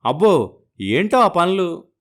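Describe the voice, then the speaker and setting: Man acting a sardonic, grumbling father, raised pitch. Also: big pitch swings, takes it slowly, heavily acted. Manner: sardonic, teasing. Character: grumbling, sceptical middle-aged father and husband.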